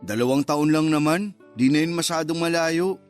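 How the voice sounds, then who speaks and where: A man's voice: fairly high pitch, normal speed, faint music behind, lightly acted. An actor playing a hesitant but candid young man in a radio drama, casual and mildly defensive as he talks. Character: hesitant, candid younger man who courts older women. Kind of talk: conversational radio drama acting.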